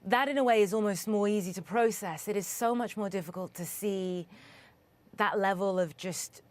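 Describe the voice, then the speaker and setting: A woman correspondent from Sudan answering interview questions in a measured, earnest way, often restarting her sentences as she thinks. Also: mid-range voice, darkish tone.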